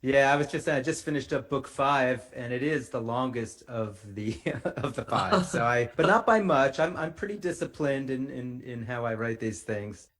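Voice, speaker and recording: A middle-aged man with a mid-range voice hosts an interview podcast. He speaks in an articulate, measured way, setting out introductions and questions in an orderly fashion. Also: medium pitch, a bit bright. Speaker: podcast host.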